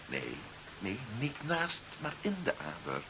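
An actor playing a brusque, impatient, sardonic sci-fi hero in a seventies radio drama. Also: male voice, low-pitched, wildly swooping pitch, takes it slowly, pushed and bright, full character voice. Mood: cold menace. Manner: curt, commanding, sardonic. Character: brusque, impatient male sci-fi hero pursuing his wife. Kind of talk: 1970s Dutch radio drama acting. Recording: dull, hissy 1970s radio recording.

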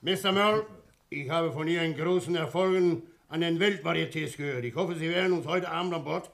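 Gravelly, blustering ship's captain. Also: male voice, fairly quick, a bit bright. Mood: insistent bonhomie. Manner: booming bonhomie, gruff cursing, emphatic. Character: jovial, blustering, grumbling old-school ship's captain. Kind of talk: 1960 German radio crime drama acting.

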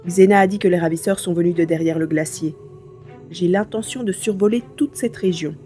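A woman playing a determined, curious young hero in a science fiction audio drama, expressive and thinking aloud. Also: on the low side, a little fast, faint music behind, natural voice.

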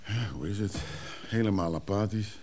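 An actor playing a weary, solicitous antiques dealer in a Dutch radio drama, speaking in short, hesitant sentences. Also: male voice, on the low side, normal speed, a little mellow, slight background noise, close to an everyday voice. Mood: sober, downcast. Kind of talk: naturalistic Dutch radio play acting.

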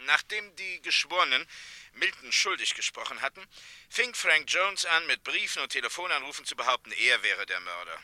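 An actor playing a stern, controlled state prosecutor in a radio crime play, speaking in clipped, matter-of-fact phrases. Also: male voice, a little high, big pitch swings, normal speed, sharp tone, dry recording, full character voice. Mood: cool, official.

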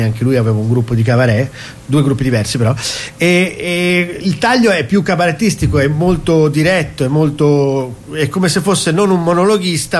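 Man, higher-than-average voice, talkative and explanatory, casual actor interview. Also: a little hiss or hum. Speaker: cabaret actor being interviewed. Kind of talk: casual interview talk about his stage show.

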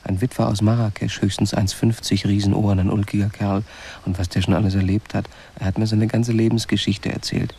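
Radio drama: easygoing, wry colony owner, colloquial rambler, lowish and creaky. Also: male voice, flat delivery, fairly quick, mellow, slight background noise. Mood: amused, chatty. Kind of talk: radio play acting, conversational monologue.

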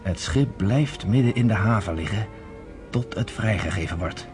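An actor playing a confident, courteous underground leader in a radio play, speaking in a measured, formal way. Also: male voice, lowish voice, expressive, a little mellow, faint music behind, natural voice. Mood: calm, scheming. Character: confident, persuasive underground leader, former army captain, courteous.

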